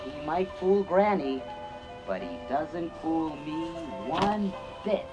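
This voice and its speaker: A man voicing a small clever male canary in a storybook record, in a high chirpy sing-song, with synth music behind. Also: lively intonation, takes it slowly. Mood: smug.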